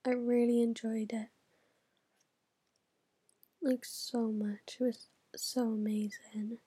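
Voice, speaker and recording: A woman's voice: on the high side, flat delivery, slow, warm tone, husky. Chatty swearing teen girl. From United Kingdom. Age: teens. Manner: rambling, swearing, whispering asides. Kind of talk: casual vlog chat.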